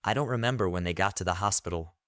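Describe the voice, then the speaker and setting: A man reading a scripted first-person horror story in a deliberate, literary storytelling manner. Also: sits low, fairly bright tone, no room sound, clean studio sound. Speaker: narrator of horror stories.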